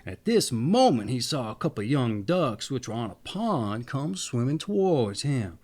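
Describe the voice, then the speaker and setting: A man narrating a fairy tale in an expressive, deliberate storytelling manner. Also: medium pitch.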